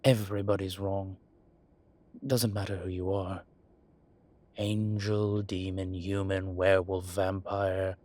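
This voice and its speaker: A man playing an ancient demon professor who is gruff but contrite and caring, speaking intimately to one listener in a halting, measured, husky voice. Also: level tone, a little mellow. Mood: wry, philosophical. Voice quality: husky, breathy low voice. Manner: slow, halting, measured delivery with sighs.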